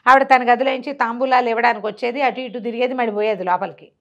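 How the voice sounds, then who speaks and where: A woman's voice: on the high side, fairly even intonation, quick pace, highs cut off. Conversational woman storyteller telling a tale. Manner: conversational, asks rhetorical questions, acts out dialogue. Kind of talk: animated oral storytelling of a traditional tale.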